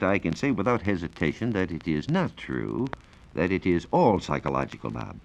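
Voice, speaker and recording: An American man working as a science reporter gives a formal, measured, scripted explanation in the style of 1940s educational radio. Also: fairly low pitch. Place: radio studio. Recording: dull, lo-fi 1940s radio recording.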